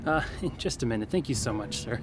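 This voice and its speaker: A man's voice: medium pitch, animated, fast talker, noisy background, lightly acted. An actor playing an upbeat, chummy globetrotter in a radio drama, hesitantly switching between English and German. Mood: apologetic. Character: smooth-talking, upbeat male globetrotter mixing English and German.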